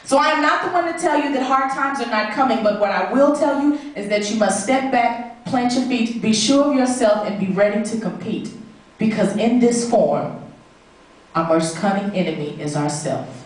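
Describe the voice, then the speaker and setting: A woman's voice: medium pitch, normal speed. A woman performing spoken word poetry live, with an emphatic, dramatic, rhythmic delivery. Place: live stage performance in an echoey room. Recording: very echoey room, distant mic.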